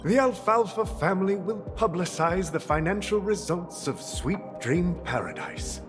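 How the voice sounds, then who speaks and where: A jovial, scheming old family boss for a video game. His pitch is on the high side and swoops grandly as he condescends. He sounds set in a big reverberant hall. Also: sing-song, measured pace, over music. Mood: gloating. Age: older. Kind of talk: theatrical video game acting.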